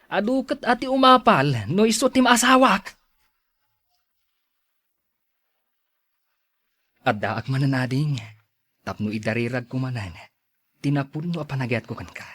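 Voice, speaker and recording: Lovestruck young Ilocano suitor, lively pitch. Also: male voice, moderate pace. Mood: earnest, pleading. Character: lovestruck earnest young man, devoted suitor and son. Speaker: radio drama actor. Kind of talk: melodramatic radio drama acting.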